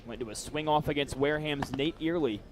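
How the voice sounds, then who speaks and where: A man's voice: on the high side, a little fast. A man doing live baseball play-by-play commentary as the announcer.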